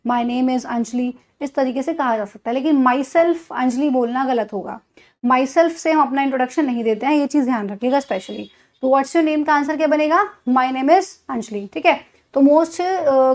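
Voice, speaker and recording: Brief: Indian woman, high-pitched, a patient teacher who spells things out for beginners. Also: a little mellow. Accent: Indian English. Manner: patient, explains step by step, repeats example sentences slowly. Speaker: English teacher. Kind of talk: spoken English lesson in Hindi.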